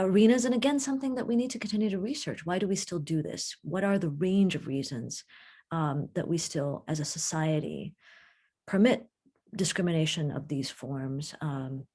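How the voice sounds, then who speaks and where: Low-voiced woman lecturer answering questions. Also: darkish tone. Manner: emphatic, with frequent restarts. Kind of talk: academic Q&A discussion.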